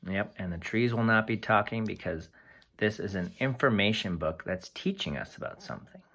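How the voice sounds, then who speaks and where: A teacher reading a picture book aloud to young children, explaining things simply with expressive asides. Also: sits low, moderate pace, vocal fry, breathy edge.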